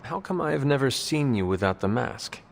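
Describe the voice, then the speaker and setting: An actor playing a solemn, polite supernatural hero in a video game, speaking formally and with restraint. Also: male voice, mid-range voice, lively intonation, moderate pace, vocal fry, slight background noise. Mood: earnest, curious. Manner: formal, avoids contractions, restrained. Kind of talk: video game cutscene acting.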